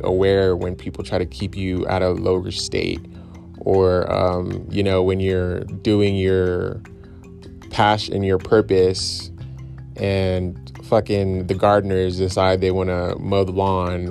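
Young male solo podcaster, deep and gravelly, loose and rambling, faint music underneath. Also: a little mellow. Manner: loose, rambling and reflective, with frequent fillers and casual swearing. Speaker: podcast host. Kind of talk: solo casual podcast monologue.

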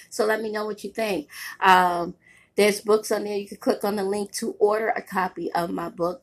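Stuffy-nosed woman doing a pep-talk podcast, kinda husky, preachy and repeats stuff. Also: medium pitch, a bit bright. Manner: emphatic, preachy, repeats phrases for effect. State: congested and nasal from a cold. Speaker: podcast host and author. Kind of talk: motivational podcast monologue.

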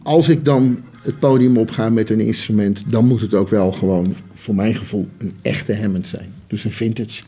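A man's voice: on the low side, steady tone, moderate pace, hardly any pauses, warm tone, breathy edge. A man, an organist, talking casually about himself in an interview. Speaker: Hammond organist.

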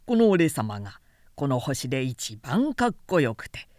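A woman's voice: a bass voice, very animated, unhurried, a little mellow, no room sound, clean studio sound, voice pushed down, exaggerated voice. A woman voicing a vain, boastful man who craves admiration, in a storybook reading. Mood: pompous. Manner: boastful, self-important.

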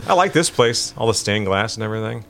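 A man casually chatting and joking while playing a video game on a podcast, reacting loudly to the screen. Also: lowish voice, fast talker, faint music behind. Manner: jokey, animated reactions. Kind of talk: casual chat while gaming.

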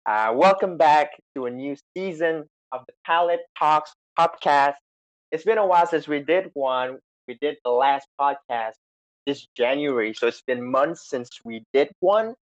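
A man hosting a podcast over a remote call, excited and chatty, with many fillers and restarts. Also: fairly high pitch, a little slow. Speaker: podcast host. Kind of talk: casual chat. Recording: slightly dull lo-fi sound.

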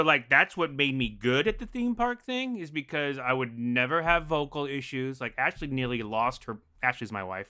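Loud, animated male podcast guest with a bright, crisp voice. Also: mid-range voice. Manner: naturally loud, animated storytelling. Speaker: podcast guest, improv performer. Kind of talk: casual chat.

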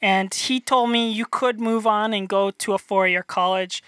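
A young man from North Dakota with a high voice, chatting as an interview guest, rambling and self-deprecating with many restarts. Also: steady tone, moderate pace, a bit bright, clean tone, no room sound. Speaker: illustrator guest. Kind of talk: casual interview chat.

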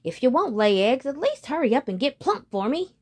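A grumbling old countrywoman, voiced by a woman reading aloud, talks bossily in a raised, husky voice. She sounds impatient and a bit greedy, like an old storybook character. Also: fairly high pitch, very animated, a little slow. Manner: grumbling, bossy.

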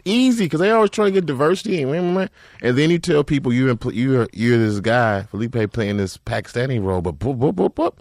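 A man hosting a casual comedy podcast, animated and joking, swearing freely. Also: medium pitch, a little fast, no room sound, studio quality. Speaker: podcast host.

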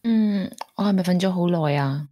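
A woman's voice: fairly low pitch, normal speed, warm tone, no room sound, studio quality, natural voice. An actress with a Hong Kong Cantonese accent playing a fragile, grieving young woman in a radio drama. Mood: softened, playful. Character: fragile grieving young woman, guilt-ridden, pushing suitor away.

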